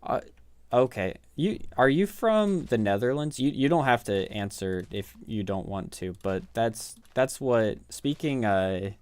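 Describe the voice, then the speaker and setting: A man's voice: mid-range voice, expressive, measured pace, a little mellow, clear, resonant tone, dry recording. A man in his thirties with a Midwestern American accent, a game streamer rambling casually and self-deprecatingly with his viewers. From Midwest United States. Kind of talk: casual chat with live viewers while gaming.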